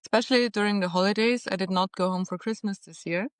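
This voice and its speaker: A woman's voice: mid-range voice, fast talker, clean tone, no echo, clean studio sound. A young woman from Austria with an Austrian German accent, chatting reflectively as a podcast guest. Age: young adult. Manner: reflective, frequent "like" and soft laughs. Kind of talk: casual interview chat. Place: apartment on a high floor.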